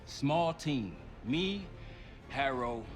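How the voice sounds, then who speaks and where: Terse covert operative over loud score. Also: male voice, medium pitch, animated, very slow, over loud music. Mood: focused, businesslike. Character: terse, defiant male military covert operative. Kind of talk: dramatic game cutscene acting.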